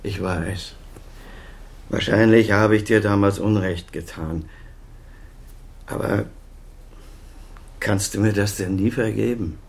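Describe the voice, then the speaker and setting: A man's voice: on the low side, fairly even intonation, takes it slowly, creaky, pauses often. A man acting in a naturalistic radio drama as a middle-aged doctor and father, defensive, self-justifying and pleading. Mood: remorseful.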